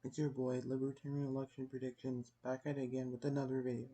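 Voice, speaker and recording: A young man giving casual election prediction commentary as a video host, in a subdued, hushed voice with a halting delivery. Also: medium pitch, monotone. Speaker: YouTube host. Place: at home. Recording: noticeable background noise, home recording.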